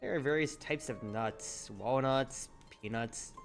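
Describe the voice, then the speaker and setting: Streamer voicing a measured, drily teasing tavern keeper. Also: mid-range voice, creaky, soft background music. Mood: gentle, dry.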